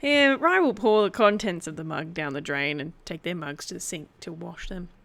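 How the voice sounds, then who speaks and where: A woman's voice: lowish voice, lively intonation, moderate pace, vocal fry, fairly bright tone. A woman casually improvising narration of her character's actions as a player in a role-play.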